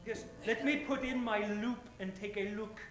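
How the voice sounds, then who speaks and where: A man's voice: high voice, normal speed, fairly bright tone. A man playing a slippery, smugly polite pawnbroker in an old-time radio drama, with affected, formal phrasing. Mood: smugly evasive. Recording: roomy sound, faint music behind.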